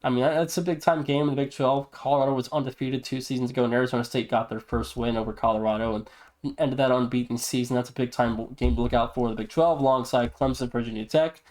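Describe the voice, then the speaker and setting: Male sports podcast host, medium pitch, emphatic delivery, casual news and commentary. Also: steady tone, fast, a little mellow. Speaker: podcast host and league presenter.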